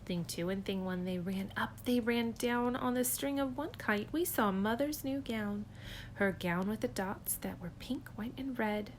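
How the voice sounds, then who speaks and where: A woman's voice: a little high, measured pace, a little hiss or hum. Woman reading a rhyming storybook aloud. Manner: clear, rhythmic and expressive with rhymes. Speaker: preschool teacher. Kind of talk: reading a picture book aloud to children.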